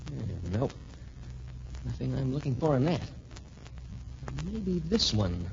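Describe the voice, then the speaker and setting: An actor playing an earnest, clever young cadet hero, deliberate and dramatic, in a 1940s radio drama. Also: male voice, medium pitch, sing-song, vocal fry, heavily acted. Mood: hushed, intent. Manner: deliberate, muttering to himself, dramatic. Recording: muffled, noisy 1940s radio recording.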